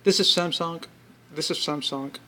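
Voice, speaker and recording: A man presenting an informal product demonstration, explaining step by step in halting phrases and repeating himself. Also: a little high, fairly even intonation, sharp tone. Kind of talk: informal spoken product demo.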